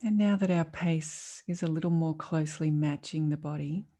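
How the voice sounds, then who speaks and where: A woman leading a guided meditation as a teacher, speaking in a calm, soothing, measured way with long pauses. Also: low-pitched.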